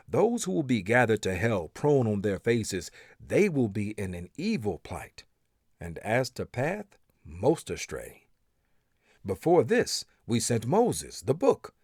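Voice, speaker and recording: A man reads an English translation of scripture aloud as narrator, in a solemn, measured manner with dramatic emphasis. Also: lowish voice, a little mellow, breathy edge, dry recording, studio quality. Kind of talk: expressive scripture recitation in English.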